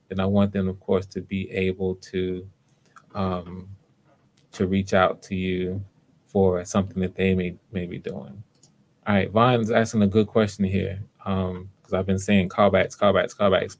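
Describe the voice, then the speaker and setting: A man hosting live online auditions, talking casually to viewers in an unhurried, explanatory way, thinking aloud as he goes. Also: low voice. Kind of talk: casual livestream talk to viewers.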